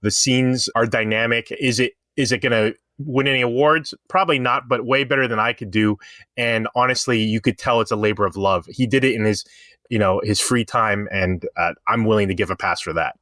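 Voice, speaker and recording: A man's voice: medium pitch, a little fast, sharp tone, no room sound, studio quality. A man co-hosting a podcast, talking casually and giving opinionated explanations. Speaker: podcast co-host.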